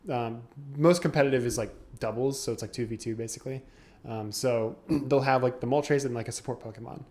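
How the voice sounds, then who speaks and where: A man's voice: medium pitch, brisk, a little mellow. A young man, co-host of a casual podcast, explaining game mechanics at length and laughing often. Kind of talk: casual chat.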